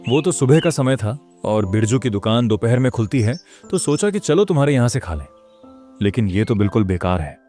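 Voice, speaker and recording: A man's voice: mid-range voice, big pitch swings, fairly quick, mellow, faint music behind, natural voice. A man voicing a disgruntled village customer in a children's cartoon, complaining. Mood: disgusted. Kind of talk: Hindi children's moral-story cartoon dub.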